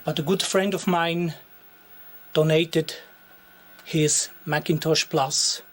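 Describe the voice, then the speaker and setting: A Swiss man with a Swiss German accent, a native Swiss German speaker, showing his retro computer collection in a slow, deliberate, pausing way. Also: fairly high pitch, fairly even intonation. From Switzerland. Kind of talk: show-and-tell narration.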